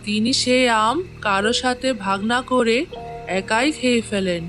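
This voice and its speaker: A woman's voice: a little high, fairly even intonation, measured pace, a bit bright. Elderly cartoon grandmother, caring, grave storyteller who warns, light music underneath. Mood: grave storytelling. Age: older. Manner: admonishing, storytelling. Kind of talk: animated moral-tale cartoon acting.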